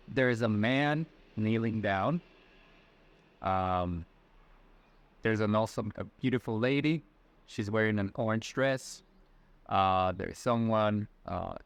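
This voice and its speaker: A man's voice: on the low side, clean tone, no room sound. A man in his twenties from Ecuador with a Latin American Spanish accent, a guest answering interview questions in a friendly, hesitant way. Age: 20s. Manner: friendly, hesitant, many fillers and restarts. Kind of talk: casual conversational answers.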